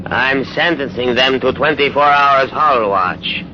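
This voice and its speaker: An actor playing a cold, authoritarian spaceship captain who speaks formally and with command, in 1950s radio drama style. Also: male voice, mid-range voice, measured pace, lightly acted. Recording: noisy, dull vintage radio recording.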